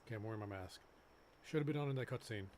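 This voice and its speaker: A man's voice: fairly low pitch, very fast, a little mellow, slight background noise. A man streaming a video game, reading dialogue aloud and adding casual commentary.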